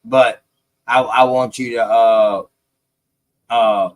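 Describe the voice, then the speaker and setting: A man's voice: mid-range voice, steady tone, slow, dry recording. Slangy, teasing man hosting, close and dry. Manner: slangy, jokey, teasing, repeats himself. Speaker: host of an open live panel. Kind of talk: casual chat.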